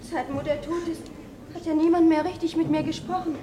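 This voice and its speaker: High-voiced, halting young girl witness. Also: fairly even intonation, warm tone. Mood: anguished. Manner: halting, repeating herself. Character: frightened, neglected young girl testifying in court. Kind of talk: 1950s German radio drama acting. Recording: hissy 1950s radio drama recording.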